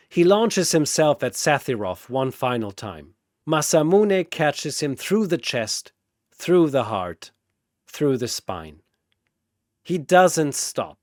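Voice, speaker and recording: A man's voice: mid-range voice, no room sound, studio quality. A man giving dramatic scripted narration of a fantasy battle, theatrical and emphatic, as narrator and announcer.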